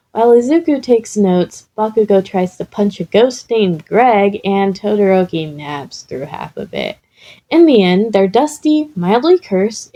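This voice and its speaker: A woman reading a scripted comic story in a measured, deliberate storytelling manner. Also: mid-range voice, mellow. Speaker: fan fiction reader.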